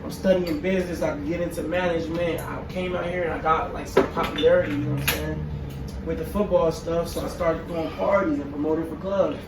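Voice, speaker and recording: A young man from Israel chats in an easygoing way about promoting shows. His voice sits a little high. He sounds distant in an echoey room with noise behind. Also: steady tone, fast. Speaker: show promoter. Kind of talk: casual chat. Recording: roomy, distant mic, background noise.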